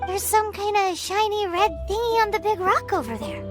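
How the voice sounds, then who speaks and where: A woman voicing a tiny, chirpy, childlike fairy companion in a video game, excitable yet cautious and cutesy in manner, with music behind her. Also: very high voice, moderate pace. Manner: talks about self in third person, cutesy. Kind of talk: English video game dub acting.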